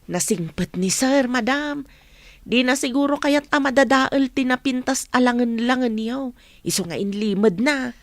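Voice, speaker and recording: A woman's voice: high-pitched, moderate pace, dry recording. A woman playing a respectful, soothing household helper in a radio drama. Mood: curious, respectful. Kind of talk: Ilocano radio drama acting.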